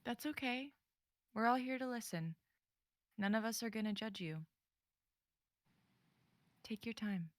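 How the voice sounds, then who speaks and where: A woman's voice: a little high, measured pace, long pauses, no echo, clean studio sound. A woman actor reading the part of a group leader in a script, kind but firm.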